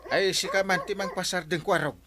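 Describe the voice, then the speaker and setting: A man's voice: sits high, fairly even intonation, a little fast, a crowd in the background, natural voice. An actor playing a flustered, irritable male village captain in a comic radio drama, with an Ilocano accent. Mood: irritated. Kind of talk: broadcast comedy radio drama acting.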